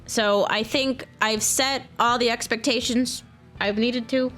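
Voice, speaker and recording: A woman talking casually and earnestly to her viewers, excited and informal in manner, as a video creator. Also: a little high, a little slow, music in the background. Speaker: video creator and game modder. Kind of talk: casual, personal talk to viewers.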